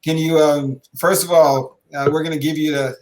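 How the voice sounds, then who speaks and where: A middle-aged man hosting a show conversationally, halting often with filled pauses. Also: on the high side, fairly even intonation, a little mellow, poor audio. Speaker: podcast host, basketball coach.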